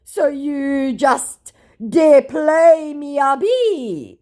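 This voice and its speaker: A woman voicing a dim, boastful male online scammer with halting broken English and an exaggerated, slow delivery. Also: high voice, expressive, mellow, pitched above the performer's own voice, exaggerated voice. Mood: mocking, goading.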